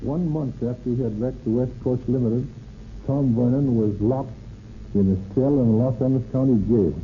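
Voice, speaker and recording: A man's voice: mid-range voice, moderate pace. A man reading formal scripted narration in the style of a 1930s radio program. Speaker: narrator, police captain. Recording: tinny, hissy old radio recording.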